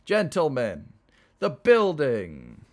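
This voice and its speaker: A man's voice: high voice, huge pitch swings, normal speed, pitched above the performer's own voice, exaggerated voice. A man voicing a greedy, boisterous drunken ringleader in a novel, theatrical and ceremonious. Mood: rowdy command.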